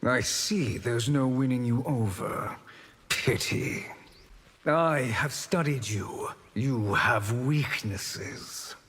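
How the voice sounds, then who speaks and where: A man playing a scheming, sly charlatan sorcerer in a video game, talking in an unhurried, calculating way. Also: medium pitch, a bit bright, slightly rough. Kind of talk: video game fight intro acting.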